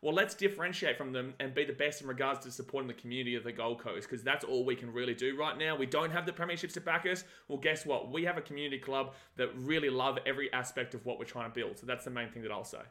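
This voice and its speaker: Podcast co-host, man, bright forceful voice, passionate fan takes. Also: mid-range voice, fast, hardly any pauses. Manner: passionate, fired-up fan opinion. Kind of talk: casual sports podcast chat.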